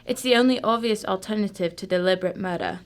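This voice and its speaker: A woman's voice: mid-range voice, quick pace, clean tone, natural voice. A woman acting a shrewd, unflappable female detective in a radio drama, formal, composed and condescending. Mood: brisk, probing.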